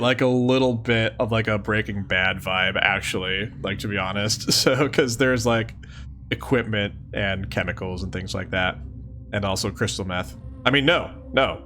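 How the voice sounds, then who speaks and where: A man's voice: medium pitch, a bit bright, a little hiss or hum. A man doing a live read-aloud, switching between theatrical mock-solemn reading and casual chatty asides. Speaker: streamer reading a webcomic aloud for the first time.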